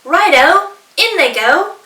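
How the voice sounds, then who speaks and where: A woman's voice: extremely high pitch, expressive, slow pace, sharp tone, pitched above the performer's own voice, exaggerated voice. A woman voicing a clever, boastful male dingo from a storybook, gleeful and singsong, cooking up a stew. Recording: roomy echo, distant mic.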